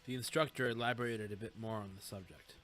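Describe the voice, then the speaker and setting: A man narrates scripted fiction. His voice sits somewhat low and his storytelling is measured and literary. Music is clearly heard beneath him. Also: steady tone, a bit bright.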